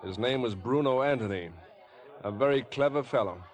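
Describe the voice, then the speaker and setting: A man's voice: medium pitch, normal speed, close to an everyday voice. An actor playing an earnest young man wrongly suspected of murder, speaking in clipped 1950s radio suspense style. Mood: composed. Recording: vintage 1950s radio broadcast.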